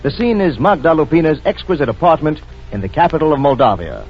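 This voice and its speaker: A man's voice: on the high side, sing-song, fast talker, faint music behind. A man working as a radio announcer, reading scripted narration in a 1940s style. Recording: dull vintage radio recording.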